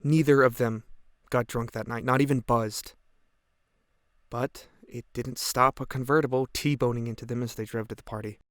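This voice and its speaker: A man's voice: mid-range voice, steady tone, moderate pace, fairly bright tone, no echo, studio quality, close to an everyday voice. A young American man playing a caring, thoughtful teenage boy speaking of his friend in a naturalistic audio drama. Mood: somber.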